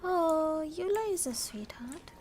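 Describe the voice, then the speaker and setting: A woman streaming a game live, reading along and reacting in a soft, breathy voice. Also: high voice, lively intonation, takes it slowly, music in the background. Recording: noisy stream audio with clicks.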